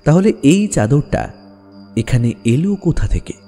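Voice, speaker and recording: Male storyteller for horror audio: warm dark tone, animated, suspenseful pauses, music underneath. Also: fairly high pitch. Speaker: narrator. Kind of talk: dramatic scripted horror story narration.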